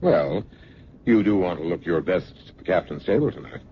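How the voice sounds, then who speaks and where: An actor playing a suave, candid escort in an old-time radio drama, smooth-talking, wry and breezy. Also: male voice, low-pitched, lively intonation, moderate pace. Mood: breezy, placating. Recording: muffled, noisy vintage radio recording.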